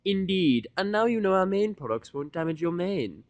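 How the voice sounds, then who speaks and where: A man voicing a refined, gracious, fussy female cartoon pony fashion designer, speaking in a genteel, drawn-out, affected way. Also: high voice, very animated, dry recording, pitched above the performer's own voice. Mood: proud, pleased.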